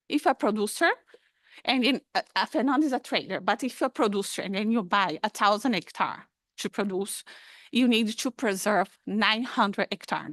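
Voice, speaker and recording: This is a woman with a mid-pitched voice, recorded dry with little room around it. She is questioning a speaker at a conference in an argumentative, insistent way. She talks off the cuff and repeats herself to press her point. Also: a bit bright, no room sound. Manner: challenging, insistent, repeats words for emphasis.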